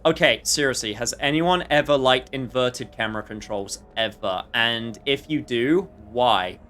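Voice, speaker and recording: A man's voice: medium pitch, measured pace, a bit bright, faint music behind. A man livestreaming a video game with casual commentary, chatting to viewers in a rambling way with jokey asides.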